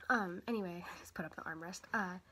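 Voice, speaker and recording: A woman's voice: on the low side, fast, slightly rough. A young woman vlogger, a little sick and stuffy, chatting casually and rambling with lots of fillers. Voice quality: quiet voice. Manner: rambling, many fillers and self-corrections. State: stuffy nose from a cold. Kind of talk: casual chat.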